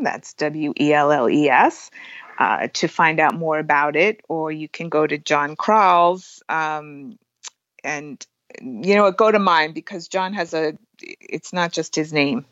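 Lowish-voiced woman, dry and slightly dull recording. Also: fairly bright tone, dry recording. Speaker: photographer and workshop teacher, interview guest. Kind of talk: casual interview chat.

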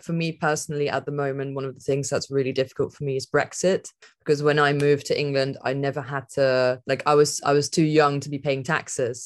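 A woman speaking as a podcast guest, thinking aloud in a rambling, reflective way, with many "like" fillers and frequent laughter. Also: rumbling low. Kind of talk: reflective conversational interview.

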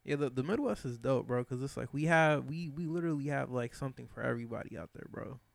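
A man's voice: mid-range voice, quick pace, vocal fry, no room sound. A man from Michigan, a podcast guest, chatting casually and loosely in a studio, full of slang and repeated fillers. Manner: slangy and loose, peppering talk with "bro" and "like". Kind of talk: casual chat.

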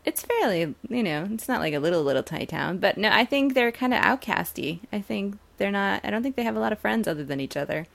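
A woman with a noticeably creaky voice and a middling pitch. She chats informally as one of the hosts of a television discussion podcast. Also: expressive, fast, few pauses. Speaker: podcast co-host. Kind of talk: casual TV episode discussion.

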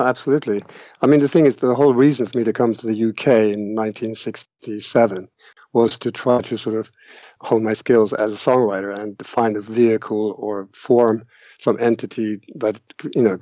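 An older man from Norway recalls his past in a relaxed interview, pausing often with fillers and a wry tone. His voice sits a little low. The sound is dull and dry, like a remote line. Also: dry recording. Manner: hesitant, many fillers, wry. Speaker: guest musician. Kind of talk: casual interview storytelling.

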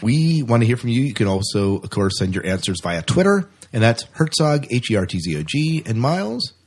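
A man's voice: medium pitch, very animated, fairly quick, slightly rough. A man hosting a podcast in casual banter, chatty, jokey and enthusiastic, often repeating himself for emphasis. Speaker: podcast host.

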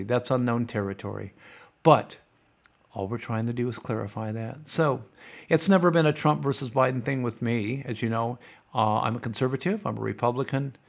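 A middle-aged man runs his podcast as a sarcastic, opinionated monologue. His voice is gravelly and fry-laden, and he sounds stuffed up and half-hoarse from congestion. His pitch sits at an ordinary middle height for a man. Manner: sarcastic, mock-chanting opponents. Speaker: podcast host.

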